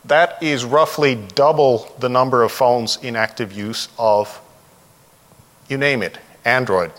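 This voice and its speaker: A man's voice: medium pitch, unhurried, fairly bright tone. A man speaks as a presenter at a conference, his voice ringing through a reverberant hall. He is informal and candid, talking conversationally and freely offering opinions. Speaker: conference speaker, developer trainer and consultant. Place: large indoor presentation room.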